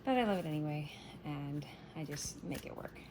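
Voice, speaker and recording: Low-voiced woman, scratchy morning voice. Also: animated, normal speed. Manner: chatty, meandering, soft-spoken. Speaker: tarot reader. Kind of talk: casual morning tarot reading.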